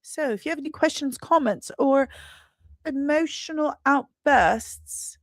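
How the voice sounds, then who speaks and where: A woman chats casually to her livestream viewers in a somewhat high voice. She takes her time, speaking slowly with playful emphasis. The sound is dry, with very little room in it. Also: dry recording. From Britain. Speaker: livestream host and comic book writer.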